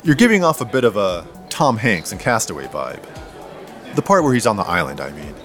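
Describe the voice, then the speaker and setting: An actor playing a matter-of-fact police detective in an audio drama, asking direct questions in a dry, natural way. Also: male voice, lowish voice, big pitch swings, a little fast, a bit bright, faint music behind, some chatter behind. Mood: dry, casual.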